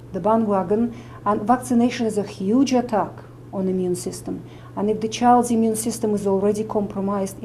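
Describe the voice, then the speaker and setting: Russian-accented woman doctor, mellow voice. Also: mid-range voice, a little hiss or hum, poor audio. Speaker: medical doctor and nutritionist. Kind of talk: explaining to camera.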